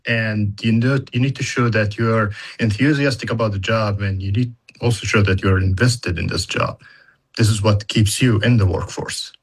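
Male educator, non-native English speaker, low husky voice, explanatory interview delivery with illustrative examples. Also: normal speed. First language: not English. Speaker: university educator in data analytics.

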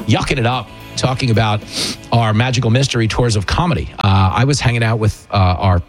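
A man hosting a comedic talk radio show, bantering in a jokey, animated way with a raspy voice. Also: fairly low pitch, fairly quick, faint music behind. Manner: jokey, animated, slipping into mock dialogue.

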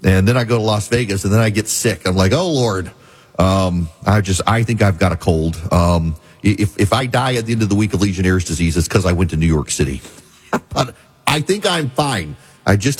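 Middle-aged male radio host, head cold, husky and a bit low, chatty, self-mocking. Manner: chatty and self-deprecating, with frequent restarts. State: head cold, slightly scratchy voice. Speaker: radio talk show host. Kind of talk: casual talk radio monologue.

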